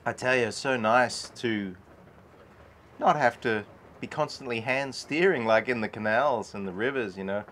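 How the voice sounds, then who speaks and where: A middle-aged man rambles through a casual vlog aboard his sailboat, breaking into exclamations as he goes. His pitch sits in an ordinary middle range, and a faint hiss runs under the camera-mic recording. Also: normal speed, fairly bright tone. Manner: chatty, rambling, exclaims often. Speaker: sailing vlogger. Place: on a sailboat at night, then in the cabin.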